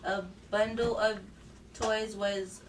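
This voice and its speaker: Female amateur reader, medium pitch, halting delivery, distant recording with heavy noise. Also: little pitch movement. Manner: stumbles over words. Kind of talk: halting amateur reading aloud. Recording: distant mic, loud clattering household noise.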